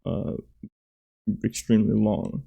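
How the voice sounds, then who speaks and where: A hoarse man talks through his own game level as he plays it, halting and self-critical and full of fillers. His voice is very dark and gravelly, with a heavy fry at the bottom. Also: fairly low pitch, steady tone. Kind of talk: gameplay commentary.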